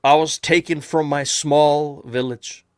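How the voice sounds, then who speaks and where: A man's voice: a little high, steady tone, takes it slowly, clean tone, dry recording, clean studio sound. A man from Iceland hosting a casual podcast chat, rambling with many restarts. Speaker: podcast host.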